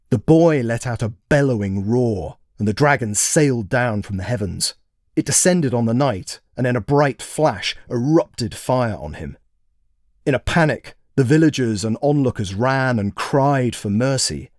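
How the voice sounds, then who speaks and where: A man's voice: mid-range voice, darkish tone, no room sound, clean studio sound. A man narrating a story expressively, in the manner of a storybook reading. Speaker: author and narrator.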